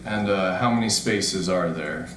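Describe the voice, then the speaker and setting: A man's voice: sits low, measured pace, a bit bright, slight background noise, rough recording. An actor playing a bewildered, wry man trapped in a digital afterlife, with a hesitant, dry, naturalistic delivery in a scripted audio comedy. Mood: hesitant, uneasy.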